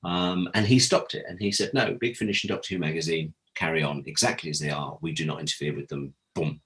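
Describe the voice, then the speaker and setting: A man's voice: very deep voice, fairly even intonation, fast talker, fairly bright tone. A man being interviewed, telling candid anecdotes as a guest and acting out the conversations he quotes. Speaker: interview guest, former audio drama producer. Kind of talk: animated anecdotal interview talk.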